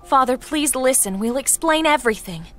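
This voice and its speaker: A woman voicing an earnest, gentle young princess in a fantasy video game. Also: high voice, animated, normal speed. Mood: urgent, pleading.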